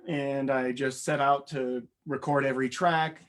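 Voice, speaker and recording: A young man with a raspy voice, answering interview questions in a modest, rambling way with many fillers. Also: medium pitch, steady tone, a little slow. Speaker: interview guest, musician. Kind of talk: casual interview chat.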